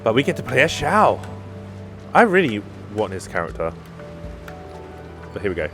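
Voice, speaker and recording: A man's voice: medium pitch, big pitch swings, moderate pace, a bit bright. A man giving casual commentary as he streams a game. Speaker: gaming streamer. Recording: game music faintly behind. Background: game music.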